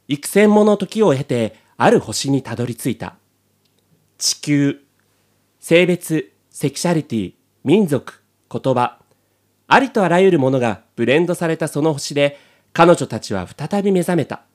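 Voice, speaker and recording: A man's voice: fairly high pitch, darkish tone. A man hosting a podcast and reading scripted narration as its presenter.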